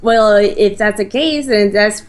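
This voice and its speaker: A woman co-playing a game and chatting casually, explaining things in a hesitant, halting way. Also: medium pitch. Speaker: gaming co-player. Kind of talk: casual gameplay chat.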